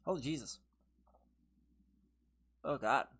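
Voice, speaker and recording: A woman's voice: rumbling low, drawn-out words, fairly bright tone. A woman streaming a game live from her own room, chatting with viewers, laughing often and teasing them, tired and losing her voice. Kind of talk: casual live gameplay commentary with chat.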